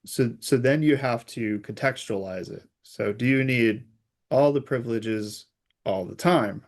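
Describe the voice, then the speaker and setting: A man's voice: mid-range voice, measured pace. A man speaking as an expert guest in an interview, explaining technical concepts through hypothetical examples. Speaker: expert guest, security researcher.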